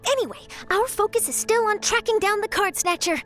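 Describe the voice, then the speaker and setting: A perky young reporter from a video game, trying out headlines in a brisk, newsy way. Her voice sits very high, with faint game music behind. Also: fairly bright tone. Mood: matter-of-fact.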